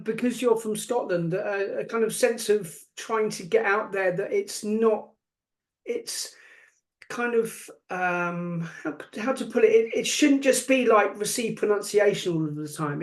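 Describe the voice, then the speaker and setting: A podcast host interviewing a guest in a casual, hesitant way, asking questions over an online call. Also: medium pitch. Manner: hesitant, many fillers and restarts.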